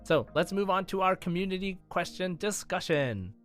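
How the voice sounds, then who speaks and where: A man's voice: high voice, expressive, moderate pace, fairly bright tone, soft background music. A man hosting a casual gaming podcast, talking in a jokey, conversational way. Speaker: podcast host.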